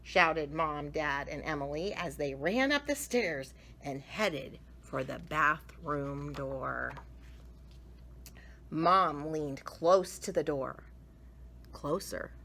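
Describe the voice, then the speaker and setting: Woman, children's story reader, bright voice, slow pace, theatrical emphasis, drawn-out words. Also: deep voice, animated, slight background noise. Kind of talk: animated picture-book read-aloud.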